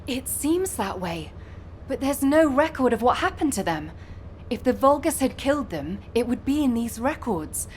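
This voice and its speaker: An actress voicing a composed, methodical military analyst in a science fiction video game. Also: female voice, high voice, big pitch swings, moderate pace, a little hiss or hum. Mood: puzzled, grave. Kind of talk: video game voice acting, mission briefing.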